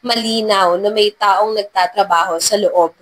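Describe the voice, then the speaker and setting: A woman's voice: medium pitch, fairly even intonation, unhurried, close to an everyday voice. A woman voicing a wealthy male CEO in a romance story, earnest and apologetic, mixing in English phrases. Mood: serious. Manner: earnest, code-switches into English. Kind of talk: dramatized romance story narration.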